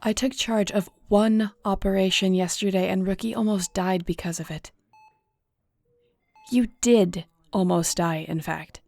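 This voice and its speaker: A woman's voice: medium pitch, steady tone, measured pace, gravelly, a little mellow, no echo. A woman acting in an audio roleplay as a weary, guilt-ridden shifter investigator, speaking in a somber, conversational, confiding way. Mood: guarded.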